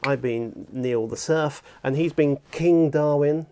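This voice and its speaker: British male presenter, forties, creaky voice, casual and jokey conversational interviewing. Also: on the high side, slow pace, mellow. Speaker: host, audiobook producer. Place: small indoor office, seated.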